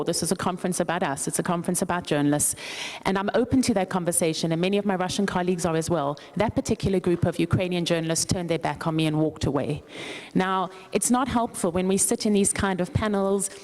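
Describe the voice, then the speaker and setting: A woman's voice: on the low side, fast talker, darkish tone. A woman with a South African accent making a firm, assertive statement from the floor at a conference. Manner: firm, assertive, prepared argument. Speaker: conference participant, journalist.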